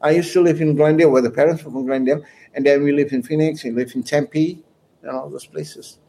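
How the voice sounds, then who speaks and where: Mellow-voiced man telling stories. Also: on the high side, fairly even intonation, brisk, a little hiss or hum, poor audio. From Malaysia. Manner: rambling, anecdotal storyteller with chuckles. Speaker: guest musician. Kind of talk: casual interview chat.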